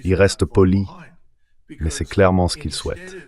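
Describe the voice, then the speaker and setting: A man's voice: low voice, fairly even intonation, normal speed, darkish tone, natural voice. A man's synthetic dubbing voice, speaking as a guest giving translated interview commentary.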